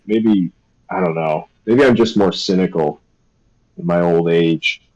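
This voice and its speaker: Low, rambling man chatting on stream. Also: a little slow, darkish tone, lo-fi sound. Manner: rambling, hesitant, self-deprecating. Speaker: streamer and artist. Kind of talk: casual chat on a live stream.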